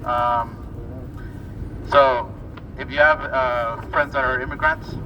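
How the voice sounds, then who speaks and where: A man from Ecuador speaking haltingly and earnestly as he gives personal testimony at a rally. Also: medium pitch, steady tone, bright, forceful tone, highs cut off. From born in Ecuador, moved as a child. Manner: hesitant, earnest. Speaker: protest speaker. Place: outdoors on a city street. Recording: distant noisy outdoor recording.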